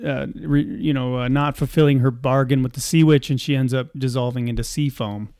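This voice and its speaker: Raspy, gravelly-voiced man, hoarse. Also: medium pitch, fairly even intonation, mellow, no room sound, clean studio sound. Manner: wry, joking, halting with fillers. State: hoarse from yelling. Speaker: podcast co-host. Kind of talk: casual podcast banter.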